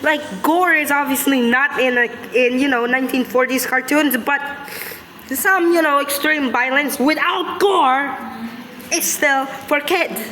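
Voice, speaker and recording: Casting: woman reaction creator, high and bright, blunt, casual and repetitive, in a ringing room with faint noise. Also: unhurried, reverberant, in a large hall, rough recording.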